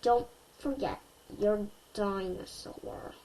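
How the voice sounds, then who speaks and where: A woman's voice: mid-range voice, slow pace, mellow, rough recording. A woman voicing a cheerful, reassuring bakery lady in a children's storybook, with a breezy manner.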